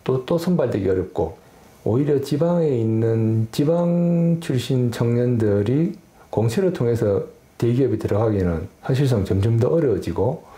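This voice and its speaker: Mellow man speaking in an echoey room. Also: mid-range voice, lively intonation, normal speed. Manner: analytical, careful. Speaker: expert interviewee. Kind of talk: documentary interview.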